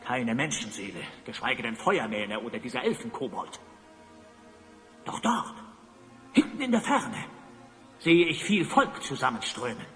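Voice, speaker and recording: An actor playing a pompous, brooding evil sorcerer in a German audio drama, speaking in a theatrical, grandiose way. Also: male voice, medium pitch, very animated, a little slow, long pauses, fairly bright tone. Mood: watchful. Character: pompous evil sorcerer, self-styled Master of Time. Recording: long reverb, music underneath.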